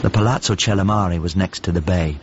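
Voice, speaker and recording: An actor playing a painter who wistfully recalls his lost master in a radio drama, speaking in intimate, measured, halting phrases. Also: low-pitched, muffled recording, noisy background, natural voice. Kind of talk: radio drama monologue and dialogue.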